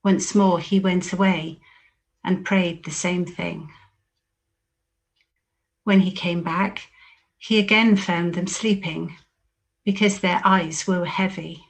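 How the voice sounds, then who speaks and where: Slow, solemn woman reading scripture. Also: lowish voice, fairly even intonation, gravelly. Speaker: scripture reader.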